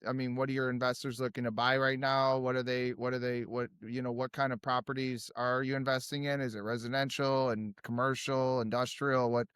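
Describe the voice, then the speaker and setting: A man's voice: mid-range voice, little pitch movement, fairly quick, few pauses, a bit bright, clear, resonant tone, no echo, studio quality. A young man hosting a podcast, asking enthusiastic, rambling questions with frequent restarts. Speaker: podcast host. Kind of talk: podcast interview.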